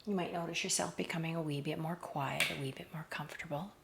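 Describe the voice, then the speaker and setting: Low-pitched, creaky woman guiding a meditation. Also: steady tone, fast, noisy background. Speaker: guided meditation host. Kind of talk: slow, soothing guided meditation with hypnotic induction. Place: outdoors in a garden. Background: faint outdoor garden ambience.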